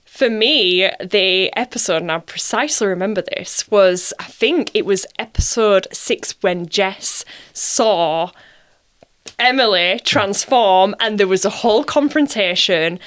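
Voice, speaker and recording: A woman's voice: medium pitch, unhurried, fairly bright tone. A woman from Bradford with a Bradford accent, an actress chatting casually as a guest in an interview. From Bradford, England.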